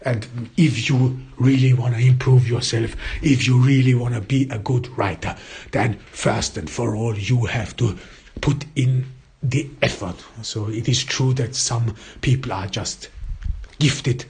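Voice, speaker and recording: Very husky man. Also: mid-range voice, unhurried, darkish tone. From Austria. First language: German. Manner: searching for words in a second language. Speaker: podcast host. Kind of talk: casual solo podcast monologue.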